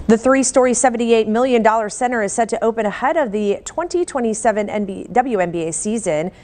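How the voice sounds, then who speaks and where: A woman's voice: a little high, brisk, a little mellow, soft background music. An American woman reading local news as an anchor in a scripted newscast style.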